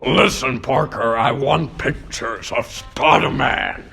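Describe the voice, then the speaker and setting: Short-tempered newspaper boss, very gravelly, forceful, barking, demanding, comic dub. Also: male voice, medium pitch, takes it slowly, faint music behind, exaggerated voice. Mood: gruff, impatient. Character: blustering, short-tempered middle-aged male newspaper boss. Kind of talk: comic book dub voice acting.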